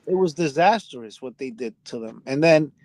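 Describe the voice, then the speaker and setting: A man's voice: fairly high pitch, lively intonation, moderate pace, darkish tone. A middle-aged man telling a rambling personal story in casual conversation, often restarting his sentences. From United States. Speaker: podcast host.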